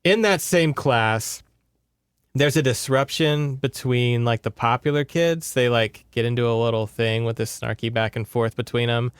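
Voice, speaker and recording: A man with a slightly high-set, smooth voice talks in a close, dry recording. He co-hosts a casual book podcast, rambling conversationally through the story with sardonic asides. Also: normal speed, no room sound. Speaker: podcast co-host. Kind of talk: casual book recap chat.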